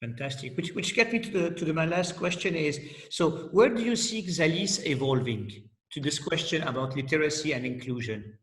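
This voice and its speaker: A man's voice: on the high side, animated, moderate pace, hardly any pauses, a bit bright, echoey room. A man moderating an online panel, asking questions enthusiastically, full of praise and with some stumbling restarts.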